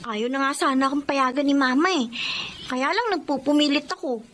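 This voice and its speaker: Casting: Filipino teen schoolgirl, played by a woman, high girlish voice, carefree and headstrong, radio drama. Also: a little fast, slight background noise, close to an everyday voice. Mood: breezy. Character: carefree, headstrong teenage schoolgirl, policeman's daughter.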